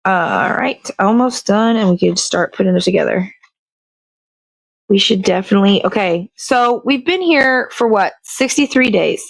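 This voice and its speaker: A woman's voice: mid-range voice, lively intonation, normal speed, vocal fry, no echo. A woman hosting a casual show, chatting and rambling as she works on a craft. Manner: rambling, self-correcting, chatty. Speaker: host. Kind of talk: casual chat while crafting.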